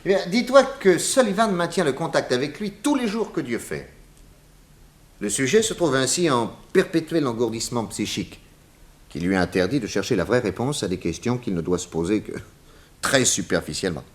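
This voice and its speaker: Actor as emphatic, swooping detective boss. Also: male voice, mid-range voice, sing-song, natural voice. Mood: insistent, didactic. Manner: emphatic, didactic, theatrical, with dramatic pauses. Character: confident male detective boss explaining crimes to his assistant. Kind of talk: 1960s French radio detective serial acting.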